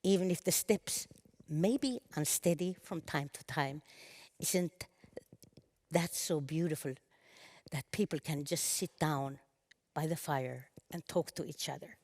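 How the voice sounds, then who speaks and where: Brief: woman in her fifties, lowish voice, halting earnest panel talk. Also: darkish tone. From Sápmi, northern Sweden. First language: Sami. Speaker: panel speaker. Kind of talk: conference speech.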